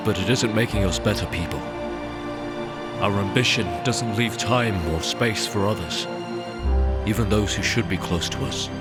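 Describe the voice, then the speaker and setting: A man's voice: lowish voice, normal speed, close to an everyday voice. Man acting an earnest, anguished explorer over music. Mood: earnest, hopeful. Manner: earnest, emotional, dramatic delivery. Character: anguished, remorseful male space explorer, earnest and idealistic. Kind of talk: audio drama acting. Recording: loud music under the voice.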